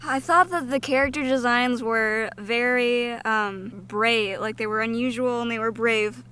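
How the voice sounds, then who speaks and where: A woman's voice: fairly high pitch, unhurried, sharp tone, clear, resonant tone. A woman co-hosting a podcast, giving casual film critique in a frank, informal manner. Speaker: podcast co-host.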